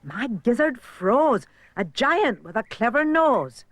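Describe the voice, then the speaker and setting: A man voicing an excited young boy in a storybook. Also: very high-pitched, slow, dry recording, pitched above the performer's own voice, heavily acted. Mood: eager.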